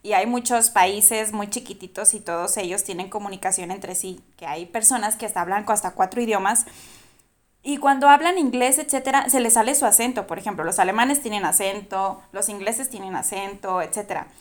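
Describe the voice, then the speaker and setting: A woman with a Mexican Spanish accent, a guest on a casual talk show, lively and joking with her former teacher. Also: medium pitch, a little fast. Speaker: guest, former student of the host. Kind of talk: casual chat.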